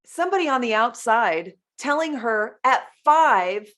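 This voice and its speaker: A woman's voice: a little high, expressive, a little slow, a bit bright. A woman hosting a podcast interview, asking long, effusive questions and telling family stories. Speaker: podcast host. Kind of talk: interview conversation.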